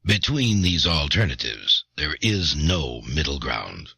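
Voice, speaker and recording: A man voicing a grave, authoritative early American chief justice, husky and stately, in a declamatory audiobook reading. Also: rumbling low, big pitch swings, slow pace, pushed and bright, dry recording, heavily acted. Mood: stern, resolute. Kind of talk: dramatic reading of a historic court opinion.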